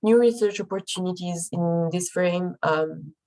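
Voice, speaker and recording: A woman's voice: fairly low pitch, unhurried, warm tone, low-quality recording. A young woman presenting her research, her voice affected by a cold, speaking carefully with frequent ums and restarts. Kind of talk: academic presentation.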